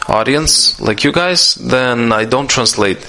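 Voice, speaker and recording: Halting man interpreting a Q&A, bright voice. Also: mid-range voice, lively intonation, vocal fry. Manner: halting, with frequent restarts and fillers. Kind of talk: live spoken interpretation of a question-and-answer session.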